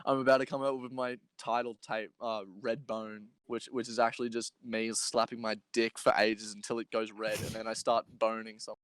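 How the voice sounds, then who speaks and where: A man's voice: lowish voice, level tone, brisk, hardly any pauses, fairly bright tone, no room sound. A man co-hosting a casual comedy podcast, joking crudely and often repeating himself. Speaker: podcast co-host.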